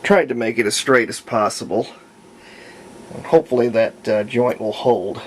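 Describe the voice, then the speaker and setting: A man's voice: mid-range voice, normal speed, slight background noise. A man giving a casual, unscripted how-to narration as a hobbyist restorer, folksy and rambling as he thinks aloud. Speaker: hobbyist restorer presenting a repair.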